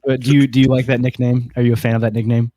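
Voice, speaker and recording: A man's voice: mid-range voice, level tone, racing, dark tone, no echo. A man co-hosting a podcast in a casual, chatty way. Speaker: podcast co-host. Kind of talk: casual chat.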